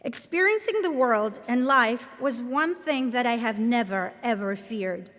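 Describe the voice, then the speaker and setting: Woman, Latvian accent, 30s, slightly high voice, measured formal address, long hall reverb. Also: expressive, fairly bright tone. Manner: measured, earnest, deliberate phrasing. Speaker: commencement speaker, professor. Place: large ceremony hall.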